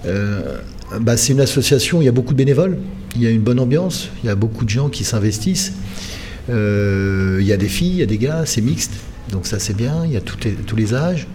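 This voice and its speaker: A man's voice: medium pitch, lively intonation, a little fast, warm tone, slight background noise. A middle-aged man from the Paris region, answering radio interview questions conversationally and often searching for words. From Paris region, France. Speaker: handball coach being interviewed. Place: radio studio.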